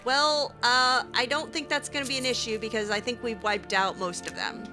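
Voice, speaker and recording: A woman's voice: a little high, fairly even intonation, normal speed, over music. A woman giving in-character commentary as she plays a video game, with wry, exasperated asides. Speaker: gaming video host playing in character.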